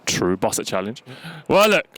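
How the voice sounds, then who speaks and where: A man's voice: lowish voice, big pitch swings, moderate pace, fairly bright tone, no room sound. A man with a London accent co-hosting a casual football chat, bantering with plenty of slang. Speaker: football show co-host.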